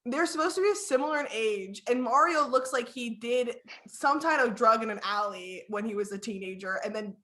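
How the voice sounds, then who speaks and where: A woman's voice: fairly high pitch, animated, moderate pace, few pauses, fairly bright tone. Giggly rambling young woman. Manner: giggly, rambling with many "like" fillers. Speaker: podcast co-host. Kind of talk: casual chat.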